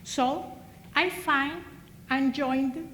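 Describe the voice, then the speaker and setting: High-voiced woman speaking slowly. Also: a bit bright, a little hiss or hum. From Cuba. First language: Spanish. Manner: slow and careful, with hesitant fillers. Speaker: graduating adult ESL student. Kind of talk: prepared graduation speech. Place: large hall. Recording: echoey hall with long reverb.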